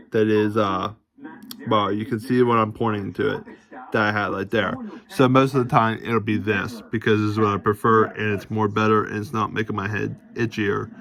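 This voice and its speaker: Low-voiced man, halting and rambling. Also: fairly bright tone. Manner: halting, hedging, repeats and restates himself. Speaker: self-described police department chief recording a vlog. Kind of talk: casual, rambling explanation to camera. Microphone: phone.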